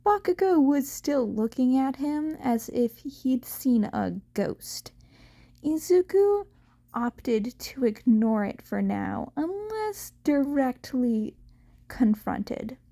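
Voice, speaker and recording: A woman reading fan fiction aloud as an amateur audiobook narrator, halting now and then to correct herself. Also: high voice, sing-song, vocal fry, mellow. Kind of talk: scripted narration of a story.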